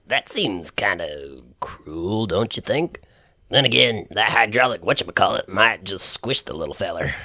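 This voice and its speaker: A man's voice: fairly low pitch, lively intonation, normal speed, bright, forceful tone, no echo, studio quality, full character voice. A man playing a confused, kindhearted, folksy small-town character in an audio drama, with a hesitant manner. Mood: gently doubtful. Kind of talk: scripted audio drama acting.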